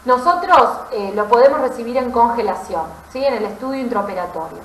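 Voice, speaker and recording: High-voiced Argentine woman lecturing, roomy sound. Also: lively intonation, a little fast, fairly bright tone, slight background noise. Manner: didactic, often checking understanding with short tag questions. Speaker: pathology lecturer, physician. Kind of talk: explanatory medical lecture over slides. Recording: roomy, echoey voice recording.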